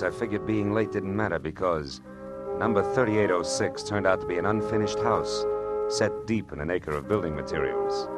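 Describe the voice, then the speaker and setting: Guy acting hardboiled radio detective, really low voice, wry and clipped, old recording w music behind. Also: close to an everyday voice. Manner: wry, clipped, world-weary. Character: hardboiled male private detective, dryly wisecracking narrator.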